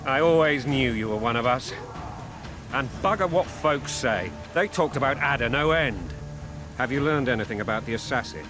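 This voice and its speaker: A middle-aged warrior king, played by a male game actor, proud and short-tempered. His voice swings widely in pitch as he speaks bluntly and commandingly. Loud music plays underneath. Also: expressive, normal speed, natural voice. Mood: grim, scornful. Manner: imperious, barking orders and oaths. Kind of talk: video game voice acting.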